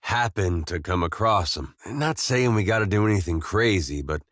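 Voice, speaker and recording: An actor with an American accent playing a gentle, weary farmer turned soldier in a video game, speaking with a folksy, plainspoken drawl. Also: male voice, sits low, animated, normal speed, no room sound, clean studio sound. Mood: troubled, earnest. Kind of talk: video game character dialogue.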